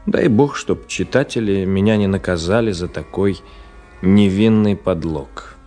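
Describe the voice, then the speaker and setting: An actor playing a reflective author who narrates a radio play in a measured, literary manner. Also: male voice, low voice, dark tone, faint music behind.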